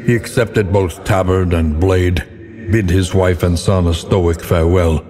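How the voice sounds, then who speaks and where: An actor playing a grim, ominous narrator of a dark fantasy game, speaking in a solemn, theatrical, measured way. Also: male voice, deep voice, fairly even intonation. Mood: somber storytelling. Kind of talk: dramatic scripted gothic fantasy narration. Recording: long cavernous reverb, faint background noise.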